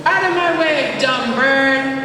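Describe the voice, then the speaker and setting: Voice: high voice, takes it slowly, crowd noise. A performer playing a boastful, menacing demon king in a children's stage play, declaiming pompously. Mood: scornful. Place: large indoor school gymnasium. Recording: echoey gym hall from far off.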